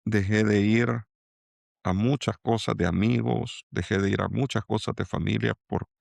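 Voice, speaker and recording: A middle-aged man from El Salvador with a Salvadoran accent, speaking as a guest in an interview and pausing to restart his thoughts. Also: on the low side, steady tone, creaky, darkish tone, no echo. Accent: Salvadoran Spanish. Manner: halting, many restarts and fillers. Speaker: angel investor guest. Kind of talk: reflective interview talk.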